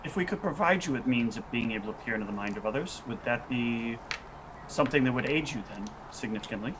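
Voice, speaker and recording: A man playing in a tabletop roleplaying game, improvising in a deliberate, businesslike way. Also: medium pitch, expressive, background noise.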